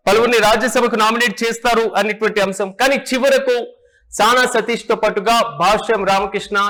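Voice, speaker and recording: A man's voice: high voice, a bit bright. A man presenting news and political analysis in a formal, repetitive manner.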